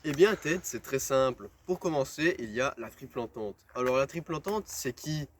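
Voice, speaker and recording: A man's voice: medium pitch, expressive, moderate pace. A young man explaining history in a mock-educational comedy sketch, in a crude, swearing, schoolmasterly way. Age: teens or young adult. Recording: homemade video with faint noise.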